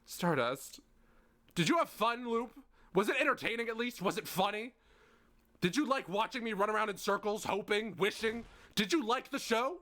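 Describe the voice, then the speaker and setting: A man's voice: lively intonation, a little slow, long pauses, a bit bright. A man voicing an anxious young male traveller trapped in a time loop, firing off rising, desperate questions. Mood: frantic. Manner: rising frantic questioning, voice climbing in pitch. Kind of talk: fan voice acting of game dialogue.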